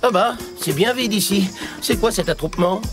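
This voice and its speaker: A man's voice: high-pitched, normal speed, over loud music, natural voice. A man playing a wry, teasing, clever trickster in a children's cartoon. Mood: mocking. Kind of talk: animated children's cartoon acting.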